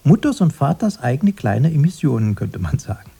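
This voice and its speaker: An actor playing a protective, simple-minded and haunted grown-up brother in a radio drama, halting and repetitive, soothing one moment and evasive the next. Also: male voice, medium pitch, very animated, creaky, mellow, breathy edge, full character voice. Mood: earnest, reminiscing. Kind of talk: 1980s German radio drama acting.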